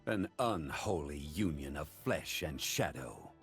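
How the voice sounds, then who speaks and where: An actor playing a calm, honorable ninja guardian in a game, solemn and formal. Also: male voice, rumbling low, measured pace, gravelly, faint music behind, heavily acted. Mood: calm, grave. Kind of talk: scripted game character voice lines.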